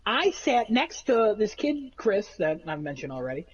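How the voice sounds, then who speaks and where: A man with a nasal voice, rambling through animated stories in a casual chat among friends. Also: high voice, normal speed, breathy edge, lo-fi sound. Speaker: podcast co-host.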